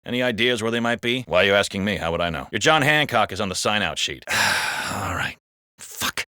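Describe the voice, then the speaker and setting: A man with an American accent playing a wisecracking, foul-mouthed city cop in video game banter, colloquial and expressive. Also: fairly low pitch, a little fast, dry recording, clean studio sound. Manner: expressive, colloquial, comically exaggerated.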